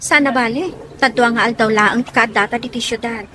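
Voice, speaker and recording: A woman's voice: on the high side, steady tone, a little fast, bright, forceful tone, slight background noise. A woman playing a meek, dutiful young provincial woman in an audio drama, obedient and hesitant. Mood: agreeable.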